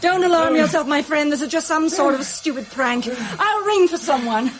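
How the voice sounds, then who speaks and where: Woman playing a genteel, kind lady, higher-voiced. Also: normal speed, background noise, natural voice. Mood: flustered. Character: kind, graceful, self-possessed lady of the house. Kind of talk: radio drama acting.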